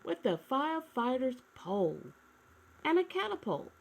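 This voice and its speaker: An eager, imaginative little storybook boy, played by a woman reading aloud, speaks emphatically and with excitement. The voice is husky and animated, with lively swings in pitch. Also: a little slow, full character voice, rough recording. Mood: excited.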